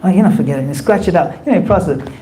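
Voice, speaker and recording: A man's voice: high voice, lively intonation, racing, mellow, slight background noise, rough recording. A man giving a conversational temple class, acting out quoted voices as he explains. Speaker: spiritual teacher. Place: temple hall.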